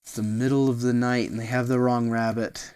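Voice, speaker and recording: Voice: mid-range voice, level tone, fairly quick, darkish tone, clean tone. A storyteller reading a picture book aloud expressively, with casual asides that explain things simply, as to a small child.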